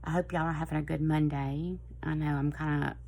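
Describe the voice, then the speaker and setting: A middle-aged woman with a Southern US accent, chatting casually and a little hoarse, in a rambling, friendly way. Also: low voice, steady tone, a little fast, darkish tone. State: slightly hoarse. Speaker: planner vlogger. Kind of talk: casual chat to camera.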